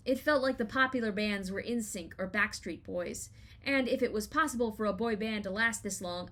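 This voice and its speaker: A woman in her thirties with an American accent, reading a scripted first-person essay in an expressive, wry storytelling manner. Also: medium pitch. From Los Angeles, United States. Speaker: writer reading their own personal essay.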